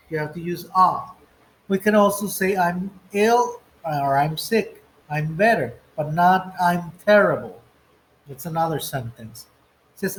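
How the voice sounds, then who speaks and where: A man's voice: high-pitched, a little hiss or hum, poor audio. A man teaching an English lesson, going through textbook exercises step by step and reading the example phrases aloud.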